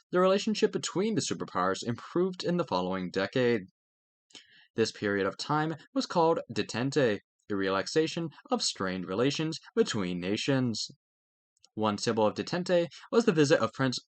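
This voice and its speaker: A man's voice: mid-range voice, fairly bright tone, no room sound. A man reading educational text aloud in a scripted narration style, now and then stumbling over a word and correcting himself. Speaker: reader of a study textbook aloud. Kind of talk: scripted read-aloud of a history textbook.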